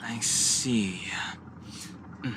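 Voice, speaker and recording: An actor playing a level-headed, studious young man in a video game, explaining things in a measured, analytical way. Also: mid-range voice, noisy background. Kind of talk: scripted game dialogue acting.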